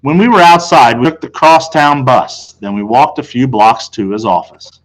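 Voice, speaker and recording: An American man reading a children's novel aloud as a teacher, with expressive storytelling. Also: mid-range voice, normal speed, rough recording. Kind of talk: audiobook-style read-aloud of children's novel, first person.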